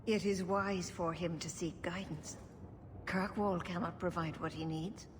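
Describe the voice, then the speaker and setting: A grave, wise elder elf woman, played by an actress speaks formally and very slowly in a lowish voice. It is a video game scene with clear ambient noise. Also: fairly even intonation, close to an everyday voice. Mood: solemn, grateful. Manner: measured, solemn, formal. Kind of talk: video game cutscene acting. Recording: noisy ambience behind the voice.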